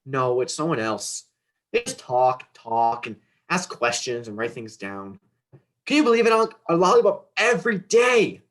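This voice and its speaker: A man's voice: medium pitch, very animated, moderate pace, fairly bright tone. Man playing an indignant, sulky little boy. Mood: sulky envy. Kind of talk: dramatic script reading.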